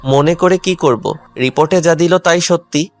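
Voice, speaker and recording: A man's voice: a little high, normal speed, close to an everyday voice. A man playing a hurt, angry, stubborn young husband in a dramatised audio love story. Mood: cold, hurt. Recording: music under the voice.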